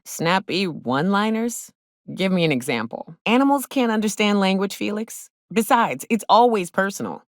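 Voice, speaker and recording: Game companion casting: tough female frontier hunter, low voice, lively swings, sardonic folksy drawl, close dry sound. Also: no room sound. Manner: blunt, wry, teasing. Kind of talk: video game companion dialogue.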